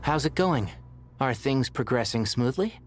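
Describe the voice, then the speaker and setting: An actor playing a composed, gently wry male colleague in a video game, speaking in a measured manner. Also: medium pitch, animated, soft background music, close to an everyday voice. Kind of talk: video game dialogue acting.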